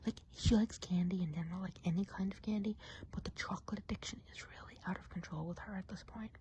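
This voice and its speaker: A woman voicing a chatty woodland witch in an ASMR roleplay, whispering softly and breathily in a gossipy, confiding way. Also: medium pitch, fairly even intonation, fast talker, natural voice. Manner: gossipy, confiding, hesitant casual phrasing. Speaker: ASMR performer.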